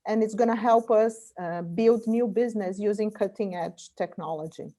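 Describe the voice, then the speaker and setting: A woman's voice: medium pitch, normal speed, dark tone. A middle-aged woman from Brazil, a Portuguese speaker, answering interview questions as a guest about her career and business. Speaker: guest, corporate venture investor.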